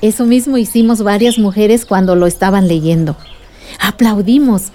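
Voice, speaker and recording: A woman's voice: medium pitch, normal speed, mellow, a little hiss or hum, natural voice. An actress playing an adult village woman, a patient, earnest activist recounting memories in a radio drama. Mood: moved, proud. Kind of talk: radio drama dialogue and narration.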